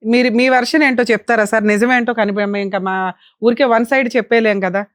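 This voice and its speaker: A woman advocate fires off probing questions at a rapid clip. Her voice sits a little high. The sound is dry and close, with no room echo. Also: fairly even intonation. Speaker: advocate counselling a caller on a family dispute show. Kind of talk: studio counselling talk.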